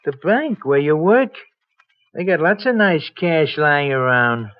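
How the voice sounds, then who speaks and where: An actor playing a cocky, bullying ex-convict blackmailer in an old-time radio crime drama, domineering and needling. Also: male voice, on the high side, lively intonation, unhurried. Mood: needling, menacing. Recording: dull vintage radio recording.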